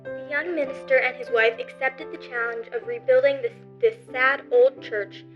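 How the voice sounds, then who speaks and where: Casting: female narrator for vintage-style radio drama, high voice, muffled sound with music behind. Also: steady tone, moderate pace. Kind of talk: scripted radio play narration. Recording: echoey, dull lo-fi recording.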